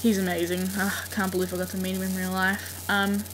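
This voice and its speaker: A woman's voice: medium pitch, completely flat delivery, fast talker, fairly bright tone. A woman from Australia with an Australian accent, answering fan questions in a casual, rambling way with frequent ums. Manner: rambling, hesitant, many ums. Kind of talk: casual voice meme monologue. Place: home room. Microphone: built-in laptop mic. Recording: noisy home laptop recording.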